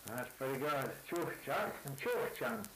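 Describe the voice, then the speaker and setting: An older man with a bright, forceful voice works through vocabulary with a language speaker. He repeats each word back slowly, checking the sound, and asks simple questions about how to say things. A faint hiss sits under the old recording. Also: mid-range voice, sing-song, low-quality recording. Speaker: interviewer learning native words. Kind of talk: word elicitation session.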